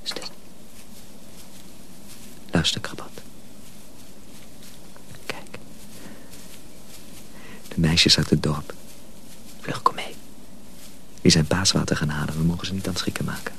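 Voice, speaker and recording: An actor playing a grave, protective older journeyman in a Dutch radio drama, speaking in solemn, hushed tones. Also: extremely low pitch, level tone, slow pace, creaky, long pauses, whispered, full character voice, poor audio. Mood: instructing, secretive. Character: grave older journeyman mill hand, protective, sorrowful, secretive.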